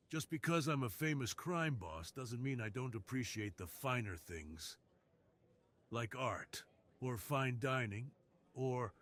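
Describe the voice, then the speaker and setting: A man's voice: mid-range voice, a bit bright, pitched above the performer's own voice. A man voicing a suave, dominant crime boss in a seductive, self-assured ASMR roleplay.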